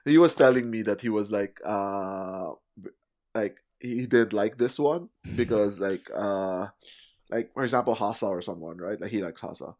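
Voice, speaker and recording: A man's voice: fairly low pitch, normal speed, darkish tone. A man hosting a casual podcast chat, talking with lots of fillers and laughing often. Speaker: podcast host.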